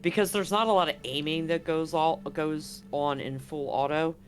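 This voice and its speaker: A man's voice: a little high, steady tone, normal speed. A man talking casually as the game master of a tabletop roleplaying game, describing scenes and rules. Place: live online game stream.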